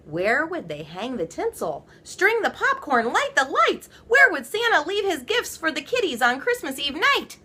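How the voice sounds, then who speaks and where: A woman reading a children's story aloud, with playful, theatrical emphasis and drawn-out words. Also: high-pitched, huge pitch swings, pushed and bright. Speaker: storybook reader. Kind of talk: animated children's read-aloud.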